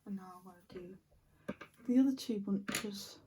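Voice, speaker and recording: A woman hosting a colouring channel who is losing her voice and sounds a little croaky, chatting softly to viewers as she colours. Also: mid-range voice, normal speed, far from the mic, background noise. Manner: chatty, soft-spoken, thankful to viewers. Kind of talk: casual chat while colouring.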